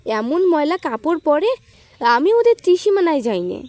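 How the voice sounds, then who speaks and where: A woman's voice: sits high, very animated, normal speed, a little mellow, no echo, pitched above the performer's own voice. A woman voicing a playful, sulky teenage girl in an audio storybook, coquettish and reproachful. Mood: reproachful teasing.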